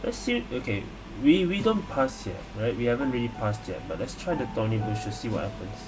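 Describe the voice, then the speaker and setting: A man's voice: mid-range voice, sing-song, brisk, music in the background, low-quality recording. A man giving casual reaction commentary as a gaming streamer, hesitant and repeating words as he thinks aloud.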